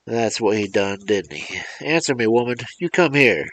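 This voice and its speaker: A man voicing an angry old country man who yells accusations in rough rural grammar. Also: deep voice, expressive, normal speed. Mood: angry accusing.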